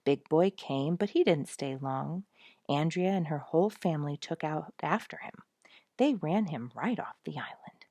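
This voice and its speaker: Female narrator, lower register, expressive children's storytelling, very dry recording. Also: normal speed, no room sound. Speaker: audiobook narrator.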